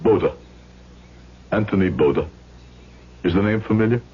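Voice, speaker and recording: An actor playing a grieving, vengeful middle-aged shoemaker with formal, deliberate speech, in a 1970s radio drama style. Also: male voice, on the low side, breathy edge. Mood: cold menace. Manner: formal, deliberate, rhetorical questions. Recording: muffled, noisy old radio broadcast.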